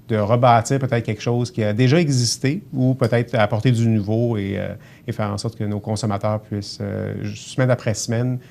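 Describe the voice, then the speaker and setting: A middle-aged man from Abitibi with a Québécois accent, answering questions as a store manager in an earnest, halting way with many fillers. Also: fairly low pitch, creaky, a little mellow, slight background noise. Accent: Québécois French. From Abitibi, Quebec. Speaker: grocery store general manager, guest. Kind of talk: casual TV interview.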